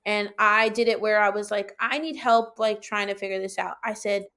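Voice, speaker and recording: A woman with a high-pitched voice telling a personal story in casual, animated conversation, acting out her own reactions as she goes. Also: normal speed. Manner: animated, colloquial, acts out own quoted reactions. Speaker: podcast guest telling own experience.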